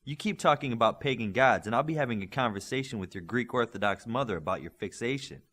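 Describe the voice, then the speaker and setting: A man's voice: mid-range voice, animated, fast, fairly bright tone. A man voicing a brainy, needling young female superhero student in an audiobook. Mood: teasing.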